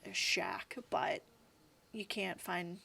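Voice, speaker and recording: A woman's voice: fairly low pitch, slow pace, gravelly, bright, forceful tone, breathy edge. A woman in her thirties, a guest in a casual interview, talking in a chatty, rambling way full of "like" and "you know". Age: 30s.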